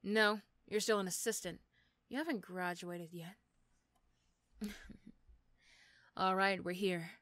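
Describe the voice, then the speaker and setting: Woman performing as irritable supervillainess, sarcastic, condescending, gruffly caring mentor, audio roleplay, close dry recording. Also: mid-range voice, fairly even intonation, slow, long pauses, fairly bright tone, dry recording, pitched below the performer's own voice. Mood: condescending, reassuring. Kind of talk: roleplay audio drama acting.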